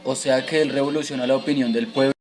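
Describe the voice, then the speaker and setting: A man acts a respectful, curious son in an educational radio drama. His pitch is average for a man and his questions sound recited. There is faint music behind the voice. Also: steady tone, fast talker, lightly acted.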